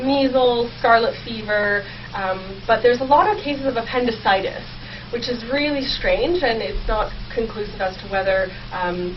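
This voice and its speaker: A young woman explaining her research conversationally and enthusiastically as an interview guest. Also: medium pitch. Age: young adult. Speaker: guest researcher, history PhD student. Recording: distant, dull, clearly noisy sound.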